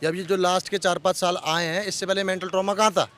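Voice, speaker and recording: A man's voice: sits high, little pitch movement, fast talker, fairly bright tone. A man being interviewed outdoors in a heated street debate, arguing with repeated phrases and rhetorical questions.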